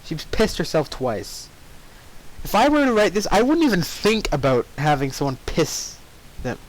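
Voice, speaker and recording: A man's voice: on the high side, very animated, normal speed, poor audio. A man reading fan fiction aloud with informal, jokey asides, easily sidetracked from the narration. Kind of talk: story read-aloud with casual ad-libbed commentary.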